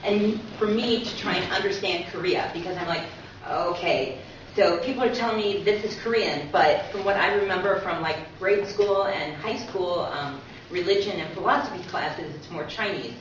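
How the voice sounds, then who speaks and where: A woman's voice: on the low side, moderate pace, few pauses. Woman giving a talk in an echoey room. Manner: conversational, explanatory, often tags points with "right?". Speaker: co-presenter, university teacher. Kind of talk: informal public lecture. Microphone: distant from speaker. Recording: echoey room, distant muffled mic, faint noise.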